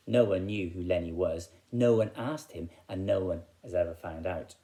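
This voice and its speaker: A man's voice: low-pitched, normal speed, dark tone. An English-accented man, a primary school teacher, speaking expressively to children as a storyteller.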